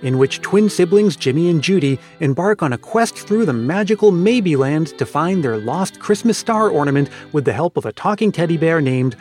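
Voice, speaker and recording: A man's voice: on the high side, animated, moderate pace, few pauses, a little mellow, faint music behind. A man hosting a podcast with scripted narration. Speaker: podcast host.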